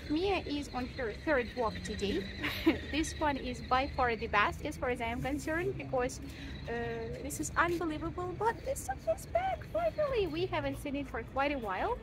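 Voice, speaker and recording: A woman's voice: sits high, very animated. Chatty woman vlogging outdoors by phone. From Ukraine. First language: Russian. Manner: chatty, many pauses and fillers, laughs often. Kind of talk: casual vlog chat. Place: outdoors in a city park. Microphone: phone camera held at arm's length. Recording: outdoor phone recording with clear ambient noise.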